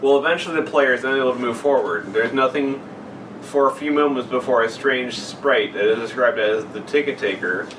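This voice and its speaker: A man's voice: mid-range voice, fairly quick. A young man in casual, joking and sarcastic banter as a podcast co-host. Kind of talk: casual banter and creepypasta reading. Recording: noisy room, distant mic.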